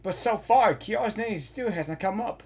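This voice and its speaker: A man giving casual, excitable gaming reaction commentary, often repeating phrases as he blurts at the screen. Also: high-pitched, normal speed.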